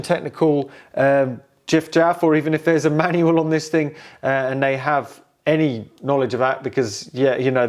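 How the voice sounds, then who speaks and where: A man's voice: on the high side, a little mellow. A man giving a casual, unscripted walkthrough of old equipment, thinking aloud hesitantly with playful, informal slang. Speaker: presenter showing and restoring old technology.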